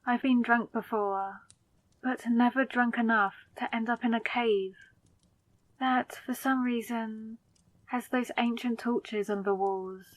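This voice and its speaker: A woman's voice: fairly high pitch, fairly even intonation, takes it slowly. A woman acting in an audio roleplay as a confused, hungover new vampire, wary and sarcastic, muttering in exasperation to herself. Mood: groggy, bewildered.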